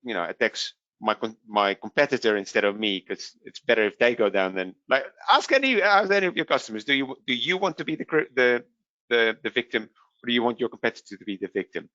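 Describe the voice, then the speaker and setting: A man's voice: medium pitch, animated, brisk, sharp tone, no echo, muffled recording. A man from the Netherlands with a Dutch accent, answering webinar questions with many fillers and restarts. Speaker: vendor product specialist.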